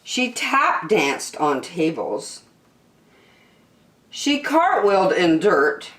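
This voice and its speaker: Woman doing storytime for kids, super expressive up and down, takes her time, bit echoey. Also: lowish voice. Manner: expressive, drawn-out emphasis on key words. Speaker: children's story reader. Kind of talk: animated picture book read-aloud.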